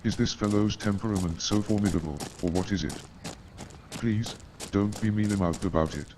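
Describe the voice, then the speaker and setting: An actor playing a weary, wry, sardonic army doctor in a staged radio play, speaking formally. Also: male voice, fairly low pitch, normal speed, background noise, close to an everyday voice. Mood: formal, inquisitive.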